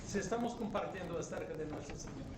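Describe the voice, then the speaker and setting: A middle-aged bishop with a high voice speaks at a conference, switching between his learned Spanish and his native English. He tells stories in a jovial way and keeps asking his listeners "right?". He is heard from a distance in an echoey hall, with steady noise underneath. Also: very fast. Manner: jovial storyteller, asks "right?" often.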